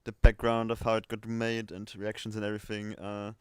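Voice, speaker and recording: Low, smooth-voiced man chatting casually. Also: completely flat delivery, brisk, darkish tone, no room sound, studio quality. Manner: hesitant, rambling. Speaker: livestream host. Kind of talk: casual unscripted livestream chat.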